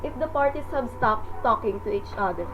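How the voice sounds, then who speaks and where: Woman doing prim unflappable phone operator, radio drama, highish polite voice, dull hissy sound. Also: expressive, moderate pace, over music. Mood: officious, polite. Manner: formal, procedural, polite. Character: unruffled, officious female chief telephone operator.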